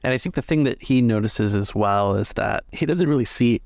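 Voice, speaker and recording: A man's voice: medium pitch, brisk, gravelly, darkish tone, dry recording, studio quality. A man acting as game master, improvising the narration of a tabletop roleplaying game. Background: music.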